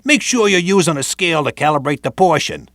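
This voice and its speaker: Streetwise New man, lively pitch. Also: brisk, no echo, clean studio sound. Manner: streetwise Brooklyn tough-guy patter. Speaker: training video presenter. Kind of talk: scripted instructional narration.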